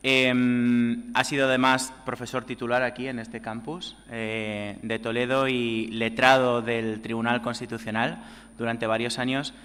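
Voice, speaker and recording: Guy introducing the speaker at a seminar, crisp bright voice, pretty monotone, hall echo. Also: mid-range voice, unhurried. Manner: formal, with frequent fillers and restarts. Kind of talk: formal introduction. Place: lecture hall. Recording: long hall reverb.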